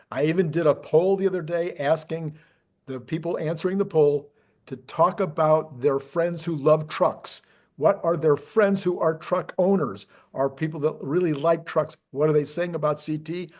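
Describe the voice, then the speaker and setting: A man's voice: on the high side, moderate pace, darkish tone. A man hosting a casual interview, putting questions in an informal, conversational way. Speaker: interview host.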